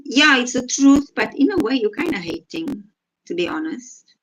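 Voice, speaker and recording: A woman's voice: a little high, sing-song, moderate pace, a bit bright, a little hiss or hum, low-quality recording. A woman from Africa, casually reading out comments and answering them with sarcasm. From South Africa. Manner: sarcastic, reads out and rebuts comments. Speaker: vlogger reacting to comments.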